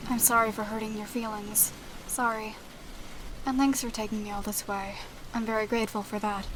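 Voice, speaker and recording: A woman playing a calm, emotionless, secretive young detective in an audio drama, speaking in a cool, curt, detached way. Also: medium pitch, moderate pace, noisy background, natural voice.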